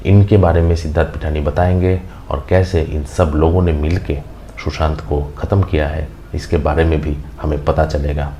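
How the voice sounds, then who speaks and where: A man's voice: a bass voice, little pitch movement, normal speed. A man with an Indian accent giving opinionated news commentary as a host, emphatic and repetitive. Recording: faint background noise.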